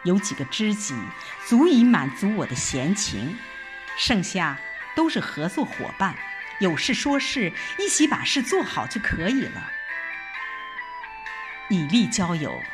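A woman performing dramatic first-person narration in a reflective, expressive recitation with deliberate pauses. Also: lowish voice. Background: background music.

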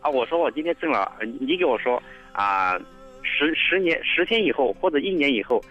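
A man calling in to a radio show, speaking earnestly and haltingly, often repeating his words. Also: mid-range voice, very animated. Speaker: caller. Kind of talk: phone-in conversation. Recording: muffled phone line with faint music.

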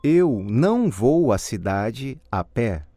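Slow, lively-pitched man reciting drill sentences. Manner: careful, deliberate enunciation. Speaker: language course model speaker. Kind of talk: scripted model answers in a language drill.

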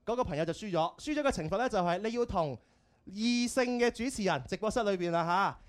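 A radio host of unclear sex speaking Cantonese, hosting a game segment with excitable, emphatic banter and repeated phrases. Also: mid-range voice, brisk. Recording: music bed behind the voice. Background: music.

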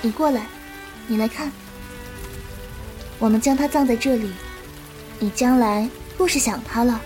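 A woman playing a gentle, compassionate young martial-arts disciple who explains patiently in a Chinese period audio drama. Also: fairly high pitch, measured pace, faint music behind, close to an everyday voice. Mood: gentle, consoling.

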